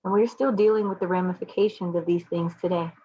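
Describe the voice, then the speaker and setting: A woman from the United States giving a reflective personal piece in a thoughtful, husky voice with pauses. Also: on the low side, steady tone, lo-fi sound, a little hiss or hum.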